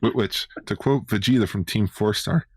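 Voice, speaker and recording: A man co-hosting a podcast in casual fan chat, haltingly repeating his first words. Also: lowish voice, breathy edge, no room sound. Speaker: podcast co-host.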